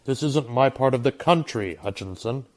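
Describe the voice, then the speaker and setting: An actor playing a resigned, reserved village schoolteacher in a radio drama. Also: male voice, medium pitch, moderate pace, natural voice. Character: resigned village schoolteacher, rule-abiding outsider.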